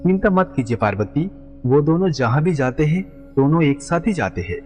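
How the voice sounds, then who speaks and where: Man voicing a calm god in long reverb. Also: a little high, normal speed. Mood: calm, reassuring. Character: calm supreme god, reassuring husband and father. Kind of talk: animated devotional story dialogue. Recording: long reverb, music underneath.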